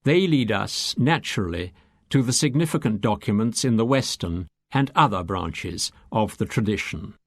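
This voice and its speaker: A man reading scripted, lecture-like exposition for an audiobook in a measured, deliberate way, with emphatic phrasing. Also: on the low side, animated, vocal fry, no echo. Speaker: audiobook reader.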